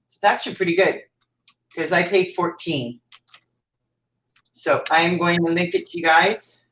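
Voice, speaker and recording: A woman's voice: fairly low pitch, a little slow, fairly bright tone, slightly rough. A Canadian woman hosting a craft live stream, chatting casually and correcting herself as she works. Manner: rambling, self-correcting while working. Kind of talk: casual craft demo chat.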